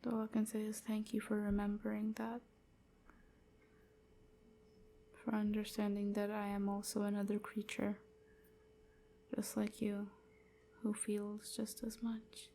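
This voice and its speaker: A woman playing a melancholy enslaved vampire in an intimate audio roleplay, gentle and confiding, with a breathy, often whispered voice. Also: mid-range voice, monotone, slight background noise, close to an everyday voice. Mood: tender gratitude. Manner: slow, hesitant, softly confiding.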